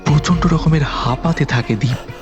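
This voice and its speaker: A man narrating a village horror story in the first person, measured and tense. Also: on the high side, muffled recording, over music. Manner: measured storytelling, turns anxious in dialogue.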